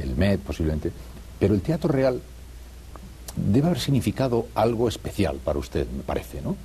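A man with an extremely deep, dark voice conducts the interview. He asks long, courteous questions and speaks to his guest with formal respect. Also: a little hiss or hum.

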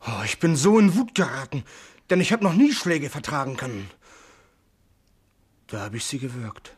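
An actor playing a haunted, guilt-ridden young sailor, halting and anguished, in a 1950s German radio play. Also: male voice, medium pitch, lively intonation, breathy edge. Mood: ashamed, anguished.

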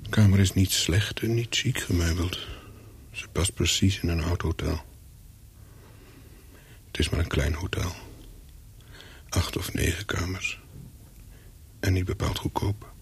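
An actor playing a wary, secretive English hotel guest in a radio play, telling his story in short, measured sentences with a husky voice. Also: fairly even intonation, heavily acted. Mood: brooding, reflective. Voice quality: low, husky. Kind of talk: radio play, first-person narration with dialogue.

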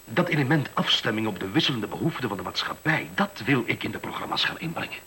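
An actor playing a pompous, stubborn official who blusters and falters when cornered, in a Dutch radio play. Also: male voice, mid-range voice, expressive, quick pace, sharp tone, slight background noise. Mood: self-assured. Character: pompous, stubborn official running an education experiment, easily rattled.

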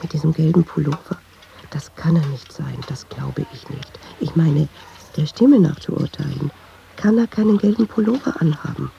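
A woman's voice: low voice, normal speed, creaky, warm tone, lo-fi sound, a little hiss or hum. An actress playing a watchful, nervous woman who muses hesitantly in an intimate radio drama monologue, dropping into half-whispers. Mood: musing, watchful. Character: watchful, nervous woman narrating her inner thoughts.

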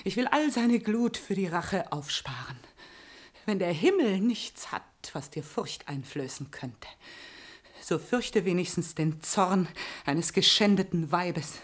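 A woman's voice: fairly low pitch, expressive, slow. Proud, wronged noblewoman, impassioned. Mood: cold anger. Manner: impassioned, declamatory. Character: proud, betrayed noblewoman vowing revenge. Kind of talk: German radio play acting of classic comedy.

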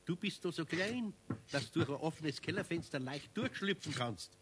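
An actor playing a good-natured, patient older craftsman with a Bavarian accent in a 1970s children's radio play, a man who gently teases. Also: on the high side, animated, normal speed, husky. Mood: matter-of-fact.

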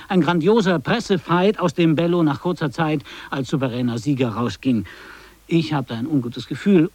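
An actor playing a cool, skeptical radio gag writer and commentator in a 1980s radio play, speaking in a dry, pointed way. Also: male voice, a little high, a little mellow, dry recording.

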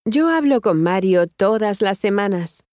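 A woman acting a friendly part in a scripted language lesson, modeling each phrase with clear enunciation. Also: mid-range voice, expressive, a little slow, darkish tone, dry recording, studio quality, natural voice. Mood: friendly, expressive. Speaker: model speaker in a language course.

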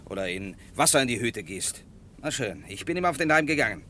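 An actor playing a polite but irritated lawyer in a vintage radio crime play, speaking formally with dry sarcasm. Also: male voice, mid-range voice, big pitch swings, fast, bright, forceful tone, a little hiss or hum. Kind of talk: 1950s-80s radio crime drama.

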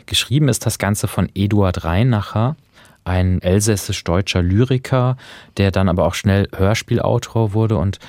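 Low-voiced man co-hosting a podcast. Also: normal speed, darkish tone, dry recording. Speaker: podcast co-host. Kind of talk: conversational discussion of radio drama.

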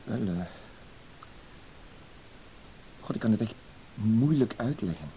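Guy acting timid apologetic man in a radio play, low mellow voice, stammers politely, hissy old recording. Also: expressive, a little fast, natural voice, low-quality recording. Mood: self-deprecating, awkward. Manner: hesitant, stammering, over-polite. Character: timid, apologetic, self-deprecating man with slyly insistent politeness.

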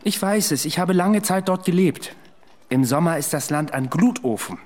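An actor playing a world-weary, sceptical military adviser, speaking in a blunt, sober way in a radio drama. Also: male voice, fairly high pitch, lively intonation, moderate pace, darkish tone. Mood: warning. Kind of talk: radio drama acting and narration.

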